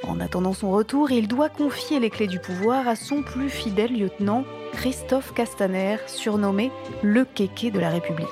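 A woman's voice: medium pitch, normal speed. A woman delivering scripted narration as the narrator of a satirical audio drama. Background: prominent music.

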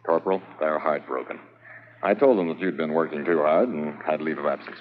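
An actor playing a brisk, businesslike police staff sergeant with a dry manner in a 1950s radio drama. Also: male voice, extremely low pitch. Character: gruff police staff sergeant, male adult. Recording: muffled lo-fi old radio transcription with faint hiss.

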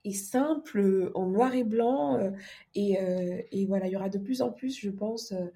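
A woman's voice: medium pitch, moderate pace, warm tone. A woman speaking casually as a podcast guest, explaining things informally with examples. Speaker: podcast guest, organisation coach. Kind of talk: casual interview conversation.

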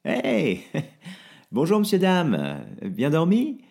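Hapless middle-aged husband, pitched up and pushy, stammering, put on by a male reader. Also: wildly swooping pitch, very slow, gravelly, full character voice. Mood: nervously jovial. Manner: loud, stammering, protesting.

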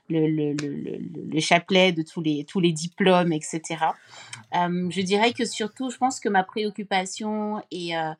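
Low-voiced woman guest, halting delivery. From Cameroon. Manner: hesitant, many fillers and restarts, warm laughs. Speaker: podcast guest, foundation founder. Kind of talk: conversational interview.

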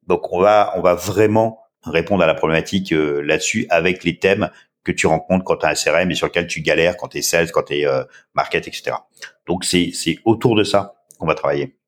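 A man in his fifties with a very low voice talks through an interview as a startup founder. He rambles a little and fills his pauses with hesitation sounds. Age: 50s. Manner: rambling, many filler sounds. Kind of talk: casual interview answers.

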